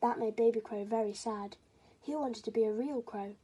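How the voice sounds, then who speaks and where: Woman reading a kids story, mellow n husky, bit high pitched. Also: normal speed. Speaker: children's story reader. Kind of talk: storybook narration.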